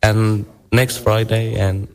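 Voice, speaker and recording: A young man from Syria, a guest in an interview, speaking halting English as he searches for words. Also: on the low side, little pitch movement. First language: Arabic. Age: young adult. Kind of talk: casual interview.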